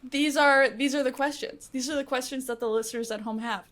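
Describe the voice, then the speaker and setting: A woman's voice: high voice, fairly even intonation, brisk, a bit bright. A woman co-hosting a podcast in casual, humorous chat with a giggly manner. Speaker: podcast co-host.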